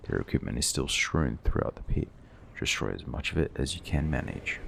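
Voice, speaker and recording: Very deep, creaky male quest reader. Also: expressive, brisk, a bit bright, a little hiss or hum. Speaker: gaming video narrator. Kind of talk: scripted dramatic reading of quest text. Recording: voice-over with game music behind.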